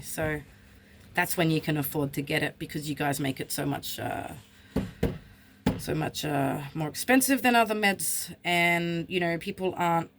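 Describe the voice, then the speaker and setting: Woman, husky voice, blunt frustrated vlog talk, faint background noise. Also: on the low side. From Australia. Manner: blunt, frustrated, swears freely. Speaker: vlogger. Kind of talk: candid personal vlog.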